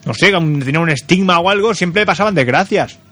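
A man's voice: high-pitched, animated, fast, sharp tone. A man with a Spain Spanish accent co-hosting casual comedic radio chat, jokey and repeating words for emphasis. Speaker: radio co-host.

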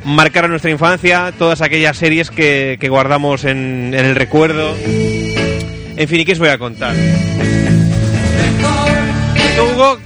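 A man's voice: on the high side, lively intonation, unhurried, long pauses. A man with an accent from Spain hosting a casual radio chat show in a playful, teasing, exclamatory way. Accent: Spanish from Spain. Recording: music behind the voice.

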